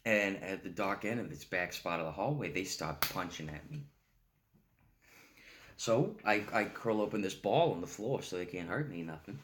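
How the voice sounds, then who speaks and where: A man's voice: deep voice, expressive, moderate pace, a bit bright, slight background noise. A man performing a stage monologue as a pathetic, childlike heroin addict, rambling, stammering and pleading as he repeats himself. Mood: agitated, wounded.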